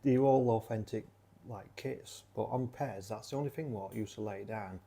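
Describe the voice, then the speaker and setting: A man with a broad South Yorkshire accent chats in a loose, rambling way, stopping and restarting his sentences. His voice sits on the low side with a mellow, dark tone. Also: moderate pace, vocal fry, slightly rough. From Sheffield, South Yorkshire. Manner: rambling with many fillers and restarts. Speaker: channel creator. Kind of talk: casual rambling chat.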